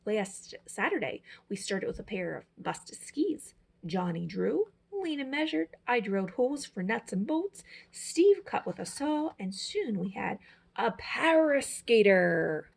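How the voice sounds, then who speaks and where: Expressive, slightly husky woman reading a storybook. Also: medium pitch, measured pace. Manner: dramatic, emphatic phrasing. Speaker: storyteller, teacher. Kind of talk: expressive children's picture book read-aloud.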